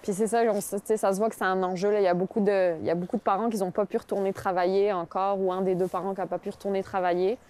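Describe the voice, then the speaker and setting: A woman in her thirties who grew up in France and is of background, interviewed in relaxed conversation, thinking aloud with frequent pauses. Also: mid-range voice. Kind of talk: casual conversation.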